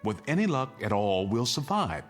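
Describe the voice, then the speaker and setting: A man's voice: mid-range voice, very animated, normal speed, faint music behind, close to an everyday voice. An American man acting in a radio drama as an urgent, middle-aged father who takes charge and steadies his family. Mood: steadying, tense.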